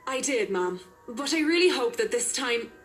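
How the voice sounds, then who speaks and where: A woman's voice: a little high, expressive, moderate pace. A woman voicing a courteous, composed interior designer in a visual novel game, speaking as a reassuring professional. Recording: loud music behind the voice.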